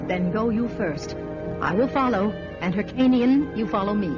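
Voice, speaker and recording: An actress playing a bold, commanding female warrior in a fantasy radio drama, brisk and decisive. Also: mid-range voice, lightly acted. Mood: commanding, urgent. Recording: dull radio recording, music behind.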